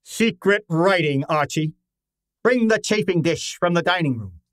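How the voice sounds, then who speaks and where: A man playing a commanding, fussy detective genius in an old-time radio drama, speaking formally and deliberately with pompous authority. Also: fairly high pitch, expressive, a bit bright. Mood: imperious.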